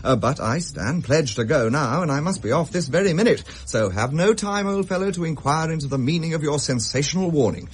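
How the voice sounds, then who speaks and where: A man acting a flippant English gentleman officer in a Victorian radio play. His delivery swings widely in pitch, jaunty and blustering, with a well-bred drawl. There is clear noise behind the voice. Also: lively intonation, a little fast, hardly any pauses, lightly acted. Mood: amused, dismissive. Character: breezy, roguish Victorian bachelor army captain, flippant and jovial. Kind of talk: period radio drama acting.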